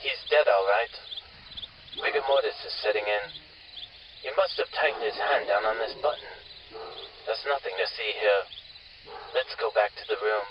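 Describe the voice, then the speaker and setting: A man's voice: fairly low pitch, normal speed, pauses often, recorded from a distance, heavy background noise, close to an everyday voice. A man playing an exasperated, determined husband in a horror-comedy radio play. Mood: reassuring. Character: exasperated husband, determined, soothing to his wife. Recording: muffled lo-fi recording, loud music behind.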